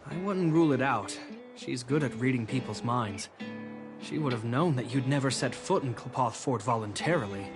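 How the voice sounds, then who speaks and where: Guy playing a thoughtful concerned brother in a game, mid-pitched male, earnest and measured, guitar music underneath. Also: expressive, lightly acted. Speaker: video game voice actor. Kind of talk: dramatic game dialogue. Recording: studio voice over guitar music.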